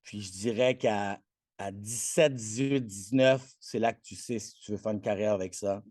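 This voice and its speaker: A man's voice: lowish voice, moderate pace, darkish tone, no echo, studio quality. A man in his forties with a Québécois French accent, Spanish his first language, chatting as an interview guest, boastful and full of restarts. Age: 40s. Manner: boastful and self-promoting, many restarts. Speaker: guest, athletic trainer. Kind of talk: casual interview chat.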